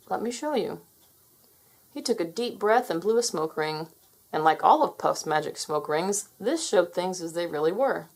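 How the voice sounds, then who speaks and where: Woman, children's story narrator, expressive read-aloud, mid-range pitch, highly animated delivery. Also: gravelly.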